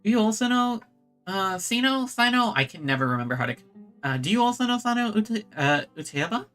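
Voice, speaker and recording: A woman's voice: medium pitch, big pitch swings, brisk, fairly bright tone. A woman streaming a video game, giving casual commentary and reading in-game text aloud. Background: game music.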